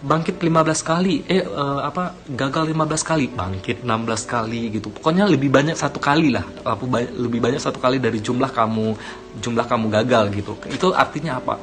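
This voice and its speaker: A man's voice: mid-range voice, quick pace, over music. A young man from Aceh talking casually to his viewers, rambling with many fillers and self-corrections, giving encouragement. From Aceh, Indonesia. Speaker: vlogger. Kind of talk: casual talk to camera.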